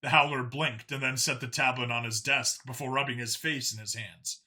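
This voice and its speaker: A man's voice: mid-range voice, fairly even intonation, moderate pace, bright, forceful tone. A man reading scripted science fiction narration as an audiobook narrator.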